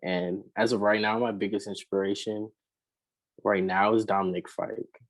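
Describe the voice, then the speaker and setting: Low monotone guy chatting about his music, laid back, lots of likes and ums. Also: moderate pace. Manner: relaxed, rambling, many fillers. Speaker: rapper and singer, interview guest. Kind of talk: casual interview chat.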